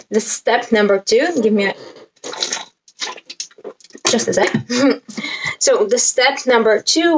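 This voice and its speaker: Slow, higher-pitched Latvian-accented young woman. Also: poor audio. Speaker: spiritual self-help vlogger. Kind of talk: casual talk to camera, explaining a list.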